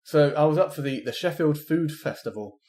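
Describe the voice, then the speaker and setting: Young man, podcast co-host, deepened by a cold, playful and joking, relaxed chat. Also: fairly quick. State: has a cold, voice deeper than usual. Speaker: podcast co-host, PhD researcher. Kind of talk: casual science podcast chat.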